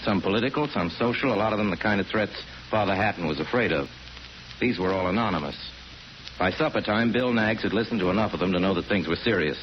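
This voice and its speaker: A man narrates a vintage radio play in a low voice. His delivery is conversational, deliberate and wry. The 1940s recording is dull, with faint noise.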